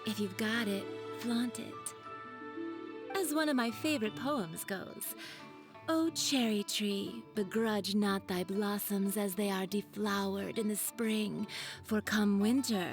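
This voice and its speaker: A woman's voice: medium pitch, slow. A woman playing a flirtatious, self-assured perfume maker in a video game, with a coy, teasing, theatrical delivery. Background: video game music.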